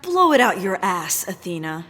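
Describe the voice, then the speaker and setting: An actress playing a blunt, defiant female agent with a sardonic, expressive manner in an audio drama. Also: on the low side, moderate pace, heavily acted.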